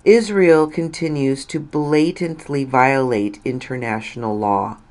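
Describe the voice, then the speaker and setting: A woman reading a written article aloud as scripted narration, in a measured, deliberate way with pauses between phrases. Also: rumbling low, a little mellow. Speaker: reader of an article.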